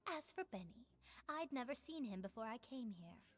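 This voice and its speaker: A woman's voice: a little high, sing-song, normal speed. An actress playing a defiant, evasive female bar owner in a video game, with a haughty, curt delivery. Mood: defiant, dismissive.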